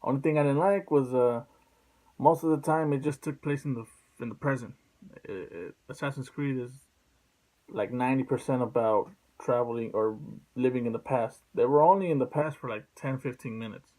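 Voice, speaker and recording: A man speaking casually as a video host, blunt and sweary, in a halting, rambling way. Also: mid-range voice, warm tone, rough recording. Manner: blunt, profane. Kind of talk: casual opinion vlog.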